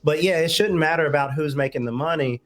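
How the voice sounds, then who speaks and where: A man's voice: on the high side, fast talker. Man talking casually as a guest. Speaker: talk show guest, relationship advisor. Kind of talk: conversational talk-show discussion.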